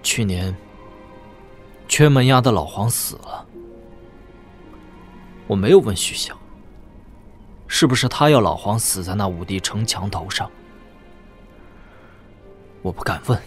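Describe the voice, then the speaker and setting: A man playing a proud, bitter young lord turned swordsman in a wuxia audio drama, with a brooding, deliberate delivery. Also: fairly low pitch, faint music behind, lightly acted.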